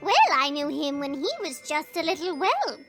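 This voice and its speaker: A woman's voice: high-pitched, expressive, normal speed, a bit bright, faint music behind. A woman voicing a small, eccentric old goblin shaman who is cheerful and chatty, in a fantasy audio roleplay. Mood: amazed, playful.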